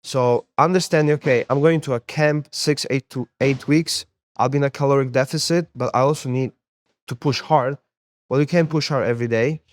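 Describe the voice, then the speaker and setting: A man's voice: mid-range voice, fairly quick. A young adult man born in Poland, a sports therapist and MMA coach, talking casually as an interview guest and often repeating words as he thinks. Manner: relaxed, repeats words while finding them.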